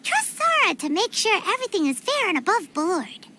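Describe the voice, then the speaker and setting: Tiny bubbly game sprite, very high and swooping,. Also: female voice, big pitch swings, moderate pace, heavy background noise. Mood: curious. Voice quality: squeaky, childlike. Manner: bubbly, chatty, third-person self-talk. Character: tiny chirpy female floating companion creature. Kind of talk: game cutscene voice acting. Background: music.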